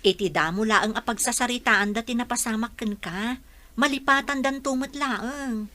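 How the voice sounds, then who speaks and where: A woman's voice: a little high, normal speed, sharp tone. A woman playing a caring older village mother in a radio drama, coaxing and advising. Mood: concerned, advising.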